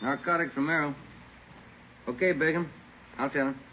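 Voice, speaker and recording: An actor playing a friendly police detective in an old radio drama, talking in short, casual phrases. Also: male voice, on the high side, normal speed, close to an everyday voice. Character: friendly, easygoing male police detective partner. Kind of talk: 1950s radio acting. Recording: noisy, muffled old radio recording.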